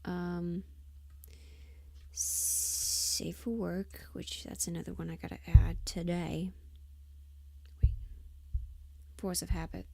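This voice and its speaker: Slow, creaky-voiced woman muttering to herself. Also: on the low side, little pitch movement, dark tone, no echo, whispered. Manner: absent-minded muttering to herself, trailing off. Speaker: livestreamer and audio performer. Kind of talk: casual livestream chat, thinking aloud while working. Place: indoors at a computer, close dry sound. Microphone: desk microphone, sometimes spoken off-axis.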